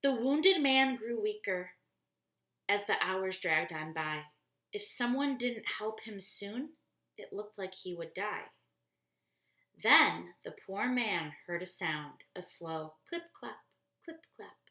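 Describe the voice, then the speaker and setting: A woman reading a children's story aloud expressively, deliberately, explaining things in simple words. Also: mid-range voice, expressive, bright, forceful tone. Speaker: storybook reader for church children. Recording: roomy, echoey indoor sound.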